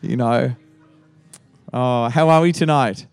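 Joking Australian pastor with laughter. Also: male voice, mid-range voice, slow, clean tone, faint music behind. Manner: playful, teasing, laughs often. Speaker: guest pastor. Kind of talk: joking sermon opening.

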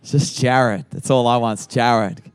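A man's voice: medium pitch, fairly even intonation, a little slow, clear, resonant tone. A middle-aged man with an Australian accent, a guest pastor opening his sermon with playful teasing and frequent laughter.

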